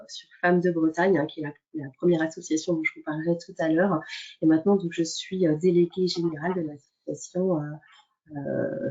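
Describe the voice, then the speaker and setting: A middle-aged woman making an unscripted introduction as an association director, in hesitant, halting phrases. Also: on the low side, darkish tone. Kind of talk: unscripted presentation. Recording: dull, muffled lo-fi audio.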